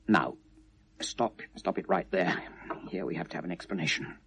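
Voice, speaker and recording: An actor playing a middle-aged British shopkeeper, wry and anguished, recording a brooding confession in a radio drama. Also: male voice, low voice, background noise, natural voice. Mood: agitated, self-mocking. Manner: brooding, self-mocking, halting then bursting out. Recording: vintage 1970s radio recording.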